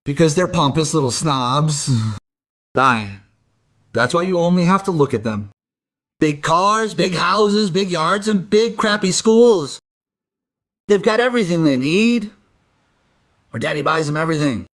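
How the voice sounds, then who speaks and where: Casting: resentful jobless young man under questioning, fairly high voice, evasive and surly, crime radio play. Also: expressive, measured pace, long pauses, a bit bright. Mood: bitter, resentful. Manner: sullen, evasive. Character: defiant, resentful young unemployed working-class male suspect.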